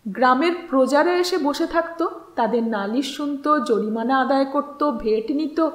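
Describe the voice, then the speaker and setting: Female audiobook narrator, high-pitched and unhurried, expressive deliberate phrasing, echoey room. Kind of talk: expressive literary story narration. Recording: roomy, echoing voice recording.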